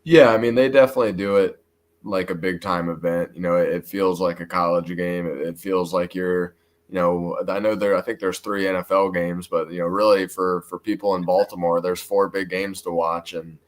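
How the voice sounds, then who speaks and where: A man with an American accent talking in a sports interview as a high school football coach, earnest and enthusiastic. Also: fairly even intonation, moderate pace. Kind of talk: sports talk show interview.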